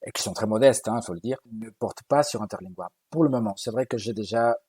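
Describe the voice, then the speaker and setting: A man's voice: fairly low pitch, quick pace, mellow, breathy edge, no room sound, clean studio sound. A young adult man from Galicia with a Spanish accent, whose first language is Galician, speaking as a linguist guest in an interview and explaining patiently with frequent hesitations and repeated words. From Galicia, Spain. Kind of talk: interview conversation.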